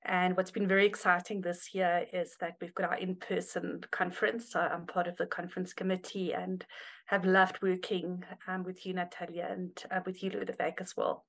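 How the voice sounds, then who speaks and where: A woman from Africa, a senior editor speaking as a podcast guest in a composed, measured way. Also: lowish voice, level tone, gravelly. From South Africa. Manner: composed, measured, with light hesitations. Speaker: senior editor, board member. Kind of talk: podcast interview.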